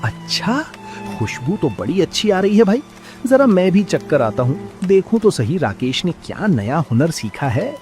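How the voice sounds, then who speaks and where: A man's voice: fairly high pitch, big pitch swings, unhurried, a little mellow, faint music behind. A man voicing a genial, curious older village uncle in a cartoon story, easygoing and inquisitive. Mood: curious, amused. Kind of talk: animated cartoon story dialogue.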